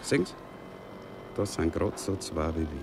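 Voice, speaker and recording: An actor playing a cocky, lusty young soldier in a radio play, speaking offhand Viennese dialect. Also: male voice, deep voice, big pitch swings, normal speed, gravelly, noisy background, heavily acted. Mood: persuasive. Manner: colloquial, offhand dialect speech. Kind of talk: 1960s German radio play acting. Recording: 1960s radio drama with music behind.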